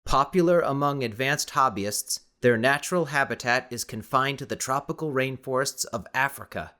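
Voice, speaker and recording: A man playing an earnest, cautious eighteen-year-old with deliberate, questioning delivery in an audiobook. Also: mid-range voice, sharp tone, lightly acted. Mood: serious. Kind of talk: audiobook character dialogue.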